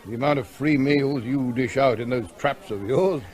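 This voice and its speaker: An actor playing an older landowner and retired major, gruff and clipped, in a radio drama. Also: male voice, mid-range voice, moderate pace, darkish tone, natural voice.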